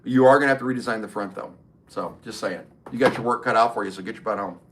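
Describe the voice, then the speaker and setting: A man's voice: fairly low pitch, fast, a bit bright, slight background noise. Chatty middle-aged man on a livestream. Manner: chatty, answers chat comments by name. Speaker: livestream host. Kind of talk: casual live stream chat.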